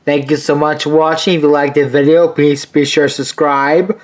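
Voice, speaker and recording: A man's voice: on the high side, level tone, moderate pace. A man hosting an online video, speaking in a sign-off style to viewers. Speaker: video host.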